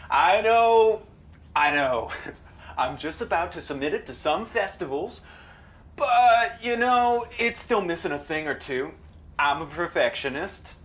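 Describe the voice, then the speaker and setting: A woman's voice: on the low side, lively intonation, a little slow. A woman playing a creepy, pushy male amateur filmmaker in an audio drama, over-familiar and wheedling. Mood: smarmy, wheedling. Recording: noticeable background noise and ambience.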